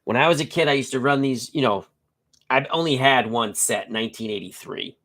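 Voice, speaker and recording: A man's voice: medium pitch, brisk, a bit bright. Congested, nasal middle-aged man chatting. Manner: chatty and joking, reads player stats in short clipped bursts. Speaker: host of a tabletop baseball live stream. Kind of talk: casual live-stream chat and lineup reading.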